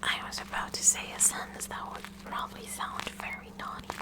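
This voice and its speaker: A woman's voice: a little fast, lightly acted. A woman playing a whispering, kooky science club girl. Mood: flustered fascination. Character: kooky, curious high school science club president, girl. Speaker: ASMR roleplayer. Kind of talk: whispered ASMR roleplay.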